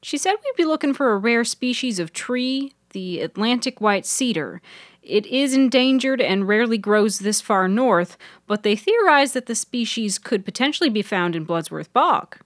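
A woman voicing a bright, wry young woman in a fiction podcast, articulate and emphatic. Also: fairly high pitch, expressive, moderate pace, dry recording, clean studio sound, pitched above the performer's own voice, close to an everyday voice. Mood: informative, keen. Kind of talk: audiobook character voice.

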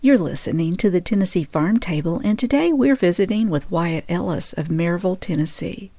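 Brief: female radio host, mellow tone, folksy and encouraging, conversational interviewing. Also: fairly low pitch, expressive, brisk.

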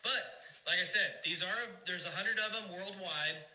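Laid-back man in his fifties, bright tone. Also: high voice, measured pace, roomy sound, rough recording. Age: 50s. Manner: laid-back, slangy, chiming in. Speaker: co-host. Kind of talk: casual chat.

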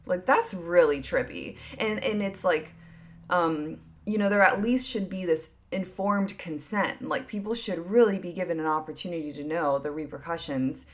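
Candid low-voiced woman in her thirties. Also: moderate pace. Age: 30s. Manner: animated, emphatic bursts with hushed asides; frequent filler "like", candid and swearing. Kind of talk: casual opinion chat.

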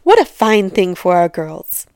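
A woman voicing a fussy, excitable, nagging middle-aged wife with a dramatic, complaining manner in a comic storybook reading. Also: fairly low pitch, measured pace, smooth, dry recording, studio quality. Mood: excited gossip.

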